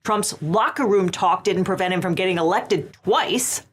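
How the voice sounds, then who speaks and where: A woman's voice: medium pitch, normal speed, slight background noise. A woman lawyer hosting the show, delivering scripted legal commentary in a wry, sardonic way.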